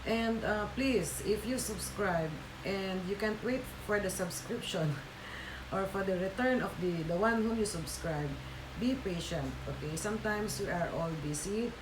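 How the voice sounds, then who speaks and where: A woman's voice: mid-range voice, measured pace, roomy sound, noisy background. A Filipina woman with a Filipino accent, a Tagalog speaker, hosting a casual livestream and chatting with viewers with many fillers and restarts. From Philippines. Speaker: livestream host.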